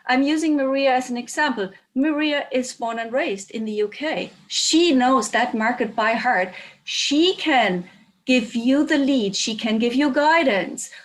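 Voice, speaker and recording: Woman explaining earnestly. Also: fairly high pitch. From Germany. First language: German. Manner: earnest, explanatory, stresses key points. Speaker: tour operator on a panel. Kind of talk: panel discussion.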